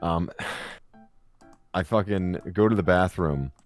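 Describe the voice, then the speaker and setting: A young man with a deep voice, telling rambling, crude, swear-filled stories in a casual chat. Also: slow pace, faint music behind. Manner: crude, rambling storyteller who swears a lot.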